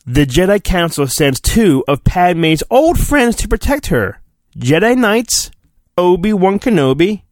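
A man's voice: high voice, very animated, measured pace, dry recording, studio quality. A man hosting a podcast, giving a comic, mock-earnest dramatic storybook reading with chatty asides and his own sound effects.

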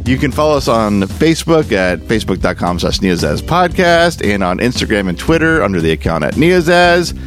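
A man's voice: fairly low pitch, sing-song, moderate pace, few pauses. A man hosting a podcast, talking casually and partly off the cuff. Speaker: podcast host. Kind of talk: casual podcast sign-off, semi-improvised. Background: music.

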